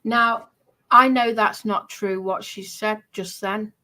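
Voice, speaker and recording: A woman casually explaining herself in a defensive, halting way. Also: medium pitch, fairly even intonation, pushed and bright, rough recording.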